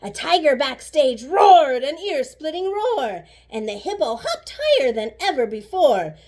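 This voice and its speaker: A woman reading a children's story aloud like a teacher, animated and rhythmic with the rhymes. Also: high voice, unhurried. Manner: animated, rhythmic rhyming delivery.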